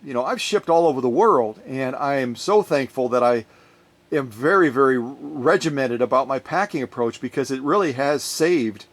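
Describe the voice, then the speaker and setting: A man chatting casually in a vlog, telling a rambling story in an animated way as he gets ready to unbox a sewing machine in his workshop. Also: medium pitch, normal speed. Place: workshop, recording his own video.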